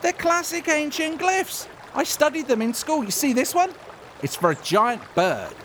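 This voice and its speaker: A nervous, whiny guide in a comedic radio play. His high voice swings wildly up and down as he stammers through evasive excuses. Water sounds run clearly behind him. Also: big pitch swings, moderate pace, a bit bright, slight background noise, exaggerated voice. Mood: eager explaining. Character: nervous, reluctant male woodland guide, evasive and eager to please. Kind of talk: comedic audio drama acting.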